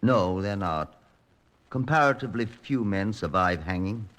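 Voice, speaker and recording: A British man playing a grim, dry police chief inspector in a measured, understated radio drama style. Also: on the low side, expressive, a bit bright. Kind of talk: 1950s radio drama acting and narration.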